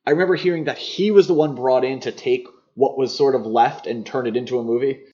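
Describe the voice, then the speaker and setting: A man's voice: medium pitch, fast talker, warm tone, dull audio. A man hosting a podcast, chatting casually about film in a conversational, opinionated way. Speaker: podcast host.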